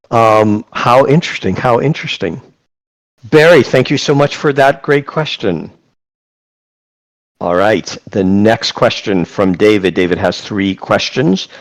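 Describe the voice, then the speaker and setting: A man's voice: medium pitch, lively intonation, a little slow, low-quality recording. A man hosting an online class on a video call, reading out chat questions and praising them effusively. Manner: effusive, repeats praise, reads questions aloud. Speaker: class host and moderator.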